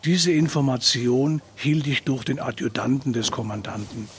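A man's voice: medium pitch, moderate pace, slight background noise. A man giving a scripted reading of a first-person testimony. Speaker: reader of testimony.

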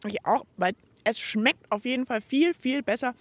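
A woman hosting a children's radio show, chatting in a playful, expressive way. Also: on the high side, moderate pace, no room sound. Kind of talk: lively children's show chat.

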